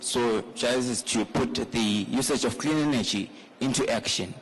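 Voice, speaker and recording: A man's voice: medium pitch, moderate pace, breathy edge, poor audio. A man with a Zimbabwean accent introducing himself carefully on a panel, with "um" and "so" fillers. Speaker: youth climate ambassador.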